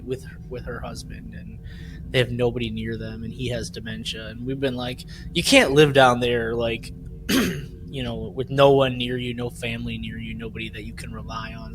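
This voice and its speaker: Male podcast panelist, mid-pitched, loose conversational storytelling, noisy recording. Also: fairly bright tone. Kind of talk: casual chat, personal storytelling.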